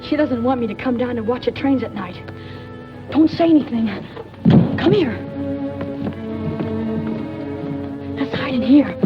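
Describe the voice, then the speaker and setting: A chatty, curious young child with a high-pitched voice and a defiant streak. The delivery is 1940s radio acting, on a dull vintage recording with music behind it. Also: female voice. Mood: hushed, bossy.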